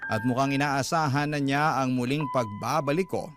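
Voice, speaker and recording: A man's voice: medium pitch, normal speed, close to an everyday voice. A man narrating as a remorseful young father, confessing his story earnestly in a radio drama. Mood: sorrowful. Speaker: letter sender narrating. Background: music.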